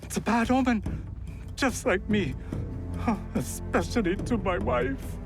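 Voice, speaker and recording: An actor playing a panicky, hapless husband in a video game drama, flustered, whiny and stammering. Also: male voice, high-pitched, measured pace, vocal fry. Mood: nervous, resigned. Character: panicky, cowardly, hapless husband fleeing trouble. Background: background music.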